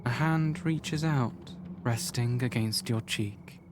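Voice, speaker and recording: A man reading scripted literary narration for a visual novel in a measured, formal storytelling manner. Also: mid-range voice, noisy background.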